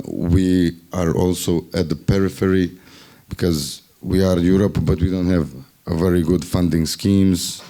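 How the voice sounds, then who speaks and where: A man's voice: sits low, mellow. A man with a Bosnian accent sharing wry, ironic remarks in a group discussion, sometimes searching for words. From Bosnia and Herzegovina. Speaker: festival workshop participant.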